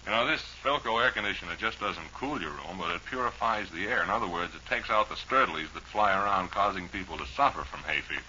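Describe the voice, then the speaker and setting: A man's voice: low voice, quick pace, hardly any pauses, sharp tone. A man with an American accent hosting an old-time radio show, rambling through ad-libbed chat and commercials in a folksy, wry way. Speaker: radio host. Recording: dull, noisy old radio broadcast recording.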